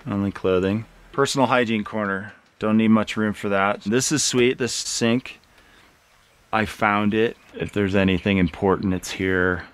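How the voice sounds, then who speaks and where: A middle-aged man casually showing someone around, laid-back and wry. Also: on the low side. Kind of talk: casual show-and-tell. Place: small cluttered wooden cabin.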